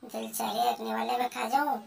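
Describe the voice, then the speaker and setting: A woman's voice: fairly high pitch, fairly even intonation, fairly quick, vocal fry, far from the mic. A woman voicing a hungry, scheming female house cat, muttering plans to herself with lots of hums. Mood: sly whispering. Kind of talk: comic pet voice-over.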